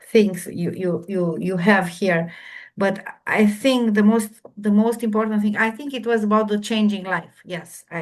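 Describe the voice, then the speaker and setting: A woman with a Romanian accent, a non-native English speaker, answering questions on a panel in halting speech with many repeated words. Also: medium pitch. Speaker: remote viewer panelist. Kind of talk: casual Q&A discussion.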